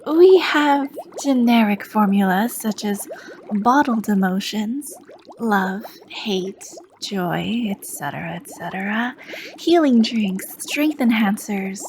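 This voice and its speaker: A woman playing a charming, sly potion shopkeeper, talking slowly and softly with a teasing edge and dipping into whispers. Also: mid-range voice, lively intonation, slight background noise. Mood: welcoming. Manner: slow, soft-spoken, teasing, drifting into whispers. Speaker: ASMR roleplay performer. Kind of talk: ASMR roleplay.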